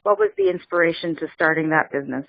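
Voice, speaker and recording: A woman hosting a radio interview show who talks enthusiastically and warmly praises her guest. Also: sits low, wildly swooping pitch, fast talker, gravelly. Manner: enthusiastic, many fillers and restarts. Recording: tinny phone-line sound, dry.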